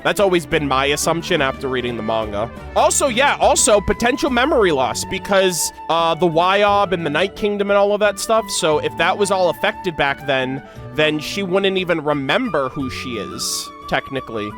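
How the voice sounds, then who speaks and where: A man giving casual, slangy reaction commentary as an excitable gaming video host. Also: sits high, moderate pace, music in the background.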